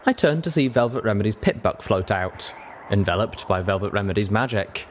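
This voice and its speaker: A man's voice: lowish voice, brisk, a little hiss or hum. A man reading scripted fiction aloud as an audiobook narrator.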